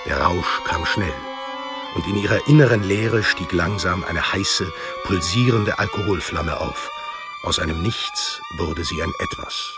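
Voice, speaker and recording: A man's voice: deep voice, wildly swooping pitch, unhurried, music in the background. A man narrating a scripted radio drama as a storyteller.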